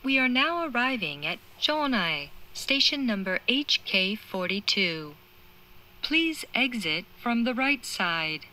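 A woman reading scripted train station announcements, formal and deliberate, with each word clearly enunciated. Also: fairly high pitch, very animated, pushed and bright, poor audio. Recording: train noise, recorded inside carriage. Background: moving train interior noise.

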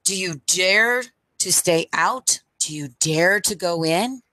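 Bright-voiced woman reading a storybook slowly. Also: on the low side, dry recording. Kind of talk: read-aloud picture book storytime. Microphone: headset microphone.

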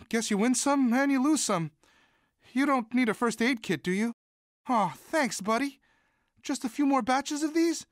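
A man's voice: very high-pitched, pushed and bright, no echo, clean studio sound, exaggerated voice. A man voicing a timid, sweet, eager young student monster in a video game, boyish, polite, earnest and hesitant. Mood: friendly. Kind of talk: video game character voice lines.

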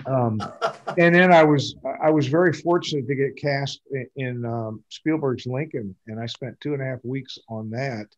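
Rambling older American man, halting delivery. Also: mid-range voice, dull audio. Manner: rambling, halting, self-deprecating. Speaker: community theater actor. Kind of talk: casual chat at an online talkback. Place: indoors at home on a video call. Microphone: video call microphone.

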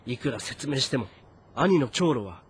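A man's voice: mid-range voice, expressive, normal speed, a crowd in the background, close to an everyday voice. A man playing an anxious, weary fortress commander of ancient China in a dramatic, earnest, brooding way. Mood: brooding, frustrated. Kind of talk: dramatic game voice acting with inner monologue.

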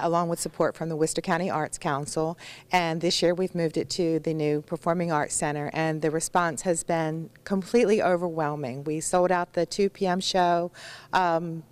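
A middle-aged woman from a library foundation answering interview questions in a theater, pausing with fillers. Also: low voice, smooth. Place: large indoor theater. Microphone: handheld mic held by reporter.

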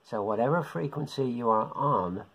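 A middle-aged man with a husky voice gives an informal beginners' tutorial, explaining haltingly in short phrases at home. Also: medium pitch, highs cut off. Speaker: hobbyist radio instructor. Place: home living room.

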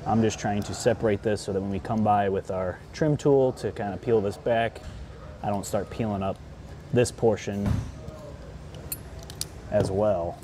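A man in his thirties with a lowish, mellow voice walks through an installation step by step. He sounds relaxed and chatty, talking while he works with his hands, close on a lapel mic with faint workshop noise behind him. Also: measured pace, pauses often. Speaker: product installation presenter. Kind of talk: casual how-to demonstration. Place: large indoor workshop floor.

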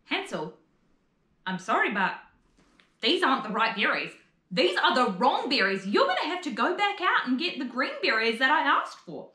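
A woman's voice: high-pitched, very animated, normal speed, bright, forceful tone, exaggerated voice. A woman playing a scheming, exasperated stepmother in a children's fairy tale, theatrical and bossy. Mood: dismayed, scheming. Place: small living room, on a sofa. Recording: roomy indoor echo.

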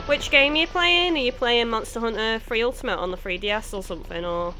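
A woman's voice: a little high, fairly quick, pushed and bright, faint music behind, low-quality recording. A young woman from near Chester in England, chatting casually with viewers while streaming a game. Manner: chatty, laughs easily, answers chat. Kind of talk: casual chat with live chat viewers.

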